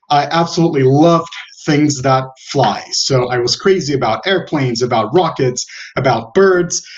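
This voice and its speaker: A man from Brazil giving an online talk as the guest speaker, relaxed and often correcting himself as he goes. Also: mid-range voice, muffled recording. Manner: relaxed, self-correcting, a few laughs. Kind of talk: online presentation.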